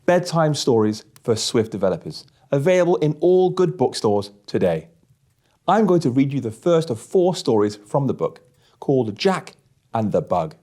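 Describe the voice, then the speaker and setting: A man narrating a scripted story as an audiobook host, with measured, deliberate phrasing. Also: mid-range voice, very animated, mellow.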